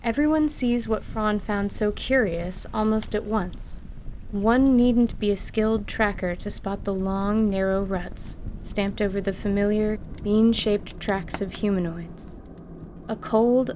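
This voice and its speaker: A woman narrating a scripted fantasy tale in a measured, literary radio theatre style. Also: medium pitch, darkish tone. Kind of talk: scripted descriptive story narration. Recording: faint background noise.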